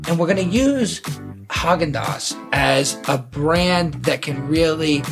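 A man's voice: fairly high pitch, slow pace, gravelly, music in the background. A man hosting a podcast, telling stories with enthusiasm in casual chat. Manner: enthusiastic, storytelling. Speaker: podcast host.

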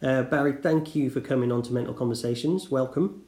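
A man's voice: mid-range voice, brisk, darkish tone, echoey room. A man hosting a podcast from his living room, chatting casually with lots of fillers and restarts. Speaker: podcast host. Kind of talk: casual interview chat. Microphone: remote call recording.

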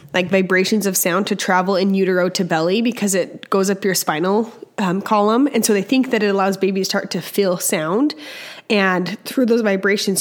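A young woman with a slightly hoarse, scratchy voice from a cold, hosting a casual educational podcast and chatting with lots of fillers. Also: mid-range voice. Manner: chatty, with many fillers and restarts. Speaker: podcast host and early childhood educator.

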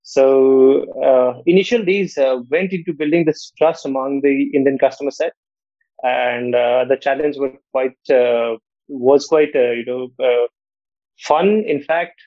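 A man from Nepal, a podcast guest who speaks in a measured way and often tags his sentences with "right?". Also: medium pitch, warm tone, no echo. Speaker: guest, fintech co-founder. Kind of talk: podcast interview. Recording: dull, dry lo-fi call audio.

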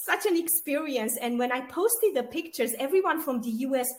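Bubbly high-voiced woman host. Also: lively intonation, a little fast, a little mellow. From Romania. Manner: bubbly, laughs often. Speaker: podcast co-host. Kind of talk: casual interview chat. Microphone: video call.

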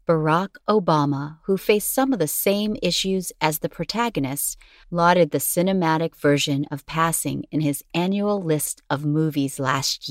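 A woman reading scripted documentary narration as a podcast narrator, in a measured and formal delivery. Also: sits low, no room sound, clean studio sound.

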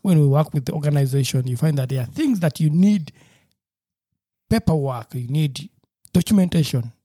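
A man with a Ugandan accent, hosting a podcast chat and speaking haltingly with frequent restarts. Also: fairly high pitch, lively intonation, mellow, dry recording, studio quality. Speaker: podcast host. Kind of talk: casual interview chat.

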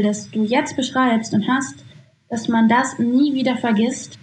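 A woman hosts a podcast in a measured, encouraging way, taking her time between thoughts. Her voice is somewhat high and rings in a roomy space. A faint background noise sits underneath. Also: a little mellow. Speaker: podcast host. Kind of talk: podcast interview.

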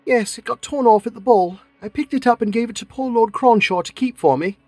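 A man's voice: very high voice, fairly quick, darkish tone, dry recording, soft background music, voice pushed up. A man voicing a nervous, genteel English lady who talks in a plaintive, fluttery way.

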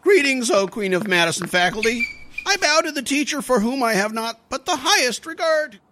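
A performer playing a pompous, flattering teenage schoolboy with florid delivery in a radio comedy. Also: medium pitch, brisk, close to an everyday voice. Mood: grandly flattering. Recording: clear noise, clicks and thunks.

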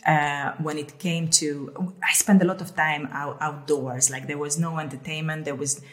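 A middle-aged woman from Hungary talks in a loose, chatty way, circling back over her words as she gathers her thoughts. Her voice sits low for a woman. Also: fairly even intonation, moderate pace. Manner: chatty, repeats words while thinking aloud. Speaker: co-host. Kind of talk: casual conversational talk.

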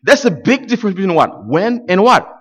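A man's voice: very animated, a little fast, husky. A man preaching a sermon, a native French speaker talking in English and getting his congregation to fill in his words. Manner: swings from loud high exclamations to low quiet asides. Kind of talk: call-and-response sermon. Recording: dull lo-fi sound.

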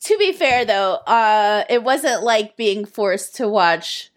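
A woman in her thirties co-hosts a relaxed, conversational podcast. Her pitch moves around a lot as she talks, giving her casual delivery a lively melody. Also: slow. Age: 30s. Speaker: podcast co-host. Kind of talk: casual chat.

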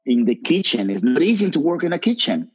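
A man's voice: fairly high pitch, sing-song, fairly quick, husky, poor audio. A man, a brand ambassador on a panel, talking earnestly and filling his sentences with "you know, like". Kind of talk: panel discussion.

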